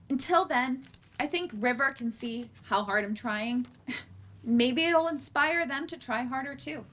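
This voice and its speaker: A woman's voice: high voice, sharp tone, exaggerated voice. A woman playing a drifting middle-aged parent, reflective and self-deprecating, in a monologue at a staged play reading.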